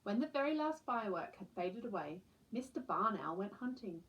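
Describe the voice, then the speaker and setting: A woman's voice: medium pitch, very animated, moderate pace, highs cut off. A woman reading a children's story aloud as a storyteller. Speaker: storyteller, teacher.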